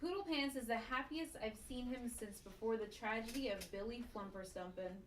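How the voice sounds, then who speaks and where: A woman's voice: a little high, moderate pace, close to an everyday voice. A woman playing a blunt, playful, encouraging office worker at a sitcom table read. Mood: reassuring, blunt. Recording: roomy, distant mic with background noise.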